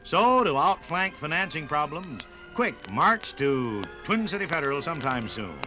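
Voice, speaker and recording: A man's voice: a little high, sing-song, moderate pace, bright, forceful tone, faint music behind. A man narrating and announcing in a polished, scripted 1950s radio style.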